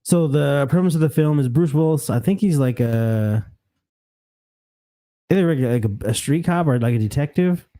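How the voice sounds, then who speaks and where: A man's voice: on the high side, moderate pace, mellow. A man hosting a casual podcast, rambling through crude banter and stories. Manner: loose, rambling storytelling. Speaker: podcast host.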